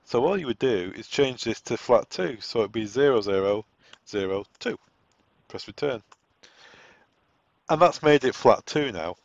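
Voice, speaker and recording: A man's voice: mid-range voice, dry recording. Man's dull-sounding tutorial voice-over. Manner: explanatory, thinking aloud, conversational. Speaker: product trainer giving a setup walk-through. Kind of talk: informal software tutorial narration. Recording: dull, lo-fi, dry close recording.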